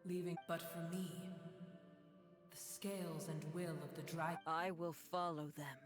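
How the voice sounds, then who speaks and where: A huge echoing voice with long reverb: a man playing an ancient game dragon, proud and mournful. It speaks formally and solemnly, with scorn and weariness in its words, over clear background music. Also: on the high side, steady tone, a little slow, lightly acted. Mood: brooding, regretful. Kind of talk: video game voice acting. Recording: cavernous echo and long reverb, music behind.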